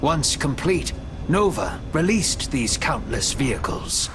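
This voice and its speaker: A man narrating a video game story cutscene in a measured, dramatic storytelling manner. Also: medium pitch, very animated. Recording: music under the voice. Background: music.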